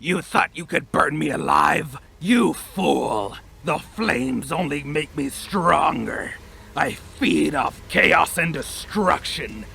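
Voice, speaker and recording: A man voicing a vengeful demonic villain in a horror monologue, gravelly, slow and menacing as he gloats. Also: on the high side, animated, sharp tone, exaggerated voice. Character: vengeful demonic villain who thrives on chaos.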